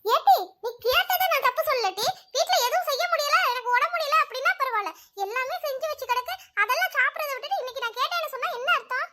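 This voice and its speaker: A woman's voice: very high-pitched, expressive, fast talker, hardly any pauses, bright, forceful tone, full character voice. A woman voicing a practical mother who scolds and nags her children. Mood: scolding. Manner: scolding, nagging.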